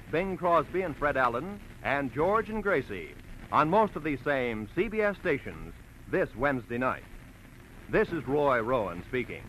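A man's voice: fairly high pitch, bright, forceful tone, a little hiss or hum, low-quality recording. An American man announcing in a polished, emphatic 1940s radio style, reading scripted promos and credits. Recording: vintage radio broadcast with music behind. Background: music.

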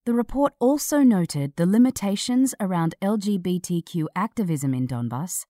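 A woman narrating a scripted news article in a measured, serious manner. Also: on the low side, dark tone, dry recording, clean studio sound.

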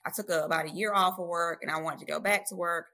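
A woman guest on a podcast, telling her career story in a relaxed, casual way with fillers. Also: fairly low pitch, quick pace. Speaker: podcast guest, nurse writer. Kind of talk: casual interview talk.

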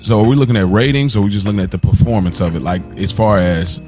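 A man's voice: on the low side, expressive, quick pace, music in the background. An American man co-hosting a podcast, chatting casually about TV in slangy, opinionated, conversational language. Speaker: podcast host. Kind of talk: casual TV review chat.

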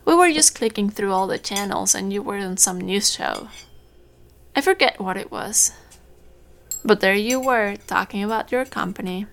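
Creaky-voiced motherly farm woman. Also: mid-range voice, moderate pace, fairly bright tone, a little hiss or hum. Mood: fond. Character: kindly motherly farm woman, proud and gentle. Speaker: actor in an audio drama. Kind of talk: naturalistic audio drama dialogue.

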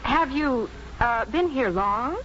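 An actress playing a guarded, anxious young daughter, uneasy and stubborn, in a 1950s radio drama. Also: female voice, sits high, expressive, slow pace, a bit bright, exaggerated voice. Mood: flustered. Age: young adult. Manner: hesitant, clipped, uneasy. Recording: muffled, hissy 1950s radio recording.

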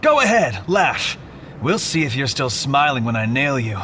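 A pushy, righteous street detective in a sci-fi radio play. His voice is sharp and very animated, always needling and challenging. A faint rumble of traffic runs behind him. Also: normal speed. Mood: threatening, commanding. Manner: confrontational, needling, self-righteous. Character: pushy, zealous male street cop, suspicious detective. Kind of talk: audio drama acting.

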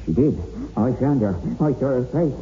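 An actor plays a superstitious old man, a family retainer in a radio chiller. His delivery is hesitant and foreboding, with a quaver and big swings of pitch. The sound is thin and phone-like, with faint hiss. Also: animated, normal speed, dark tone, lightly acted. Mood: grave foreboding. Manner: hesitant, fretful, ominous, rustic wording. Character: superstitious, nervous eighty-year-old male family retainer. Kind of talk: 1960s radio horror acting. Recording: muffled, phone-like, noisy old radio recording.

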